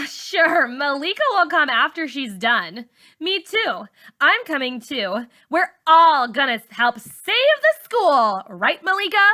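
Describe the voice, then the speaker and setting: A brash teenage girl bully from a storybook, acted out by a woman reader. Her voice jumps high and loud, with a bright, forceful edge. She snaps and mocks in pushy slang. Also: sing-song, takes it slowly, heavily acted. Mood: sarcastic, pushy. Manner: loud, snapping, sarcastic slang.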